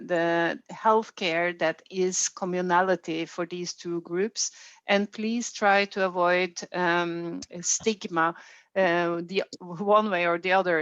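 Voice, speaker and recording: Low-pitched Norwegian woman in a roomy recording. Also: a bit bright. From Norway. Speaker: health organisation official leading a consultation. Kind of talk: unscripted formal meeting remarks. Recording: roomy, dull band-limited meeting audio.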